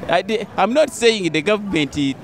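Older Ugandan man, halting, noisy street. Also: high voice, expressive. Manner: halting, repeats words, many fillers. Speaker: passer-by. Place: busy street outdoors. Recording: loud traffic noise behind.

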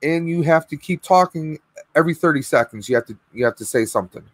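Hoarse stream host, thirties, talks in a dry, close, higher voice with lots of fillers. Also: male voice, animated, fast talker, no echo. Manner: chatty, rambling with fillers. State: losing his voice, clearing throat. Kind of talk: casual live stream chat. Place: home room with collectibles, indoors. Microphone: webcam video call.

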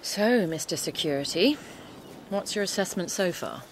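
An actress with a British accent playing a sharp-tongued, capable undercover policewoman in a radio drama, brisk and self-assured. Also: on the low side, expressive, a little hiss or hum, close to an everyday voice. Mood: earnest. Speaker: actor.

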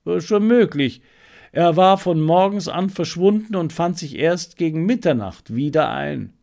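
A man's voice: on the high side, expressive, a little slow, vocal fry, a little mellow, voice pushed up. A man voicing a proud, garrulous menagerie owner in an audiobook, chatty, with little hesitant interjections. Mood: casual, grumbling.

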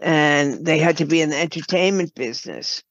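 An older woman speaking as an interview guest, halting and deliberate, in a slightly dull recording. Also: sits low, no echo. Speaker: guest, game writer and producer. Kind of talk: conversational interview.

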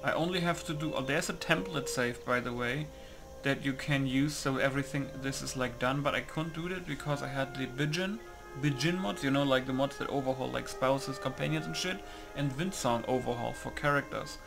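A man's voice: medium pitch, normal speed, vocal fry, over music. A man doing casual game commentary, muttering to himself as he reads settings aloud and comments loosely. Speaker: gamer recording a mod setup.

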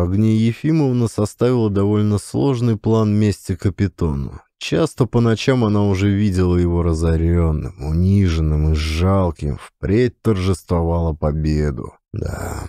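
A man's voice: deep voice, mellow, no room sound, clean studio sound. A man narrating a classic literary story as an audiobook actor.

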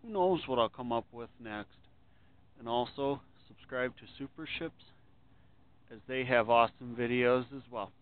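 A man's voice: medium pitch, normal speed, smooth. Guy vlogging by the river at night, chatty and friendly, thin phone-ish audio. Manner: friendly, chatty sign-offs. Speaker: ship-watching vlogger. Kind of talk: casual vlog narration. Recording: tinny phone-like camera audio.

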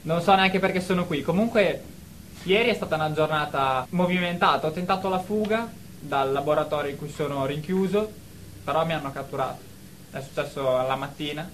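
A man's voice: on the high side, a little fast, a bit bright, a little hiss or hum, close to an everyday voice. A man playing an insistent, deluded therapy patient in a satirical radio drama, earnest and defensive in manner.

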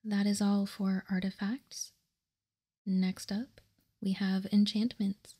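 A woman gives whispered ASMR commentary in a breathy, husky voice. She explains calmly and softly, taking her time, close to the microphone. Her delivery stays very even. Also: medium pitch. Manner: soft, unhurried, calm explaining. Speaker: host of card-game ASMR channel.

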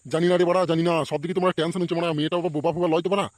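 A man's voice: a little high, flat delivery, racing, darkish tone, no echo, studio quality, close to an everyday voice. A man voicing a bossy, blustering village man in a comedy cartoon, with crude speech. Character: bossy, foul-mouthed village man at a wedding. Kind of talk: amateur comedy cartoon voice acting.

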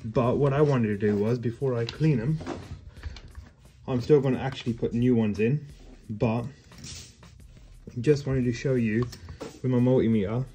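A man's voice: mid-range voice, normal speed, warm tone, slight background noise. A man, a heating engineer, casually explaining a repair on the job in a customer's home, matter-of-fact while working with his hands. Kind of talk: casual how-to demo on the job. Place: indoors in a customer's home, wooden floor.

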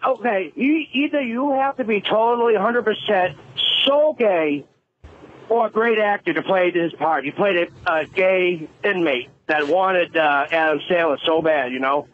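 A man with a very high-pitched voice phones in to a radio show. He is casual and enthusiastic, halting and repeating himself on a dull line. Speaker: phone-in caller.

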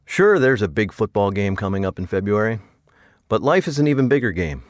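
A man's voice: on the low side, big pitch swings, fairly quick, dry recording, clean studio sound. A man hosting a podcast, reading a scripted introduction to the show. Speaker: podcast host.

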